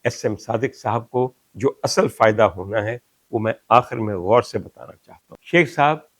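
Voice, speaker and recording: An older man from Pakistan with a Pakistani Urdu accent, hosting a talk show and reflecting on a poet, sometimes reciting Punjabi verses slowly and with emphasis. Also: on the low side, dry recording. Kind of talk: reflective monologue.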